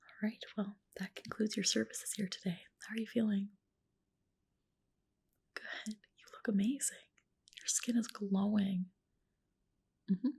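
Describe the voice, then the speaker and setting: Whispery, breathy woman in ASMR roleplay. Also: mid-range voice, steady tone, a little slow, pauses often. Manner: gentle, reassuring client-care talk. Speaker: ASMR creator playing a medical spa practitioner. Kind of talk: ASMR roleplay, personal attention. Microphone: close ASMR microphone.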